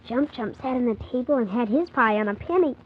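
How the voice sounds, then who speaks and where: A performer playing Tim, a polite young boy telling his story with earnest, animated wonder on 1940s children's radio. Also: mid-range voice, a little fast, husky. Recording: muffled, hissy 1940s radio transcription.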